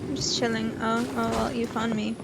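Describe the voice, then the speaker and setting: A woman's voice: fairly high pitch, level tone, moderate pace, vocal fry, rough recording. A young adult woman chatting casually as she plays on a gaming livestream. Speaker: game streamer. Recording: loud game music behind voice. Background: loud video game music.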